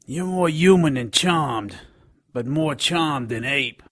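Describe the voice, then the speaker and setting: An actor playing a lecherous, sardonic gnome informant in an audio drama, leering and wisecracking in a gravelly voice. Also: male voice, a little high, lively intonation, slow pace, fairly bright tone, no room sound, clean studio sound, full character voice. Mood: intense, sly.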